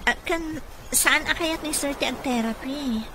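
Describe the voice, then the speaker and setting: A woman's voice: high voice, a little slow. A woman playing a kind, concerned caregiver in an Ilocano radio comedy-drama. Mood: concerned, respectful. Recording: noisy radio drama mix.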